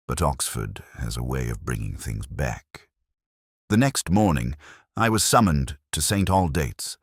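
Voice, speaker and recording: A man narrating a literary detective story in the first person as a world-weary inspector, measured, reflective and melancholy. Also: extremely low pitch, dry recording, studio quality.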